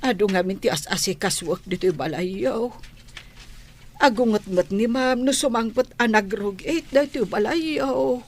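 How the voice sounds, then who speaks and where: A woman's voice: a little high, a little slow, a little mellow. A woman acting a hesitant, deferential and loyal housemaid in a scripted audio drama, with music behind her. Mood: plaintive.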